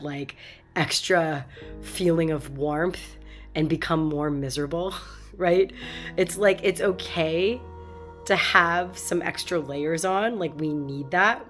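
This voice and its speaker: A woman with a head cold speaking frankly and casually to her viewers, with many fillers and restarts. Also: low voice. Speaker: vlogger. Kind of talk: casual talk to camera.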